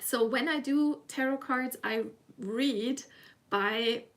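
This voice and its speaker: A woman's voice: sits high, slow pace. German-accented woman chatting on a live stream. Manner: chatty, self-laughing, reads chat aloud. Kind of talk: casual live stream chat.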